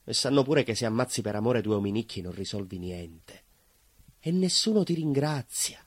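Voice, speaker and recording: An actor playing a bitter, obsessive southern Italian baker in a theatrical monologue, husky and emphatic, repeating himself and dropping low. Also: male voice, lively intonation, normal speed, no echo. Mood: bitter, defiant. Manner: emphatic repetitions, dropping to near whispers.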